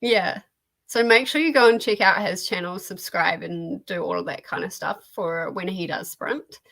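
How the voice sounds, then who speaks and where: A woman's voice: lowish voice, moderate pace, a bit bright. A woman hosting a reading livestream, chatting casually and informally about books. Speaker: host of a reading sprint livestream.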